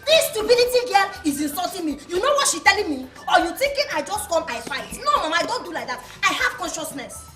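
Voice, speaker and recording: A woman's voice: extremely high pitch, very animated, quick pace, few pauses, fairly bright tone, echoey room, soft background music, heavily acted. A woman with a Nigerian accent playing a hot-tempered, boastful village woman who scolds and threatens in pidgin English. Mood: boastful threats. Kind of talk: comedy skit acting.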